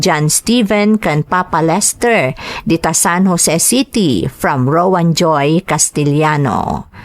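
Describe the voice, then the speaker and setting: A woman with an Ilocano accent reading greetings and letters as a radio-style podcast host. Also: medium pitch.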